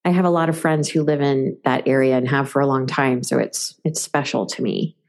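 A woman's voice: very deep voice, steady tone, a little fast, a little mellow. A woman hosting an art podcast over a video call, upbeat and chatty, sounding nasal from a cold. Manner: upbeat, chatty, frequent ums. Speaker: podcast host. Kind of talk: casual podcast chat and announcements.

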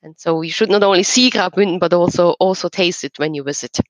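A woman's voice: on the low side, quick pace, dry recording, rough recording. Woman explaining, close dry sound. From eastern Switzerland. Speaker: podcast guest, regional tourism representative. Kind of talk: conversational interview.

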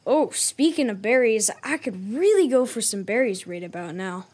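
High-voiced, blunt kid adventurer. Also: female voice, expressive, moderate pace, a little mellow. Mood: casual, puzzled.

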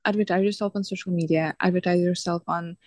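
A woman's voice: fairly low pitch, steady tone, quick pace, a little mellow, no echo. Woman, dry sound. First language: Russian. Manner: earnest, slightly non-native phrasing with fillers. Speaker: guest, coach and teacher. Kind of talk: casual podcast interview.

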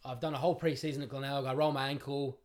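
A man's voice: medium pitch, little pitch movement, fast. A young Australian man on a podcast, telling a jokey story in casual chat into a studio mic. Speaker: podcast guest, footballer.

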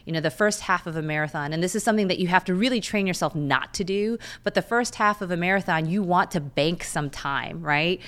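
A woman's voice: on the low side, a bit bright, smooth. A woman in her thirties whose first language is Korean, giving candid, chatty interview answers with frequent fillers. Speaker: guest, lawyer and runner.

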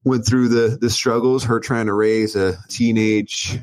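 A man from Southern California, a guest on a podcast, telling his story in a relaxed way with small restarts. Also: mid-range voice, unhurried, dry recording. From Oceanside, California, USA. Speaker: guest, business owner. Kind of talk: casual interview.

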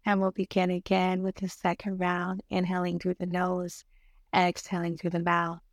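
A woman guiding a meditation in a soothing, gentle manner, giving unhurried instructions with long pauses between short phrases. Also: on the low side, monotone, dry recording. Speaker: meditation guide. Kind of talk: guided meditation narration.

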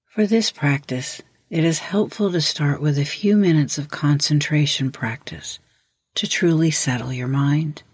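A woman with a low voice leads a guided meditation in a measured, instructional way. Her tone is slightly husky, and she leaves long pauses between prompts. The sound is very dry, with no room around it. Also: dry recording. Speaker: host, guided meditation leader.

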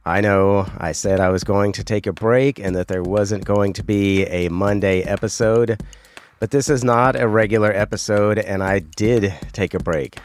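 Hoarse, congested low-voiced man. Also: moderate pace, slight background noise. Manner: rambling, conversational, self-correcting. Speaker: podcast host. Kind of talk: casual solo podcast talk.

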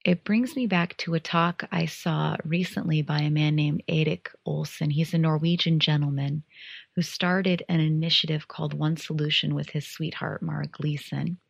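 A woman from the United States, giving a calm, earnest and encouraging reflective monologue as a podcast host. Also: low voice, moderate pace, vocal fry, husky, no room sound.

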